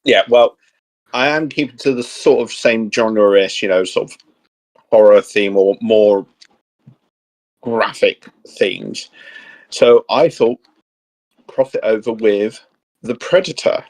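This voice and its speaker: A man co-hosting a geek show, chatting casually and piecing his ideas together haltingly as he goes. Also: fairly low pitch, darkish tone, rough recording. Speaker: co-host of a geek discussion show. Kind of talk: casual chat, pitching ideas.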